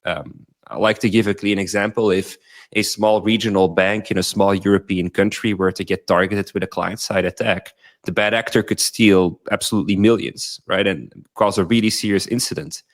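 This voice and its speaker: Male guest, slightly low voice, fluent technical explainer. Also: fairly even intonation, normal speed. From Belgium. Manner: fluent explainer, tags points with "right?". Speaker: guest, company founder. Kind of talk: explanatory interview answers.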